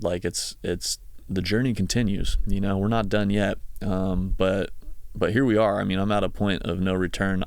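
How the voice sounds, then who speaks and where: A man's voice: low voice, creaky, clean tone, dry recording. A man in his thirties talking casually and reflectively on a solo podcast, in a rambling, conversational way. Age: 30s. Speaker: podcast host, session guitarist.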